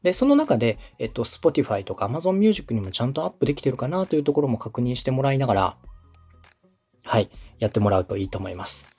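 Husky, animated man coaching politely. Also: mid-range voice, quick pace, soft background music. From Osaka, Japan. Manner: polite, explanatory, step-by-step. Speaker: podcast host and radio director giving advice. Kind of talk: conversational coaching.

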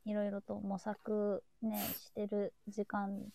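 A woman hosts a live show in an easy, chatty way. Her voice is mellow and soft-edged, with a good deal of creak in it. She speaks informally, as in unscripted conversation. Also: medium pitch, monotone, slow pace, slight background noise. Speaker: host of a live chat show. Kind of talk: casual chat.

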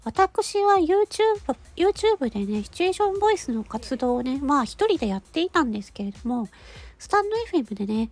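High, nasal, cartoon-cute woman's voice. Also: very animated. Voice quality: nasal, stuffy-nosed. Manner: polite, giggly, halting phrases ending in a soft filler. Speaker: amateur voice actor hosting a personal audio channel. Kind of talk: casual chat to listeners.

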